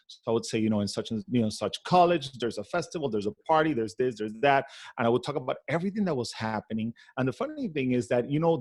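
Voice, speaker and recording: Venezuelan-raised man telling stories. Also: mid-range voice. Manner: animated, storytelling with many fillers. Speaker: guest. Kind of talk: conversational interview.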